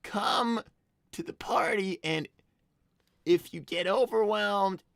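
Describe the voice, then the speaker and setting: A woman's voice: mid-range voice, slow, vocal fry, no echo. A woman voicing an exasperated, awkwardly kind teenage boy fox, hesitant and dry in a live cartoon roleplay.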